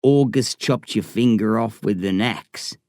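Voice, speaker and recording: A man voicing a wry, plain-spoken old man in an audiobook, with a slow folksy drawl. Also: mid-range voice, a little mellow, no echo, clean studio sound, lightly acted. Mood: wry, steady. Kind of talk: audiobook character voice.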